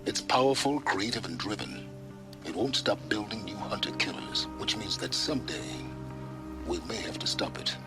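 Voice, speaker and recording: A man's voice: lowish voice, lively intonation, moderate pace, music in the background, close to an everyday voice. A man playing an aloof, secretive, menacing scholar in a video game, speaking with cold, condescending precision. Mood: aloof, intrigued. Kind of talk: video game cutscene dialogue.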